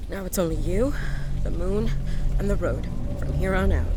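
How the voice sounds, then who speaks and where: A woman's voice: lowish voice, expressive, normal speed, very noisy. A woman playing a troubled lone driver at night, narrating an audio drama in a confiding, wry, self-questioning way. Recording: music under the voice.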